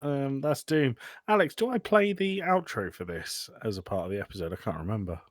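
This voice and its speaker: A man's voice: medium pitch, fairly quick, dry recording, studio quality. A man hosting a casual film review podcast, sweary and dryly joking. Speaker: podcast host.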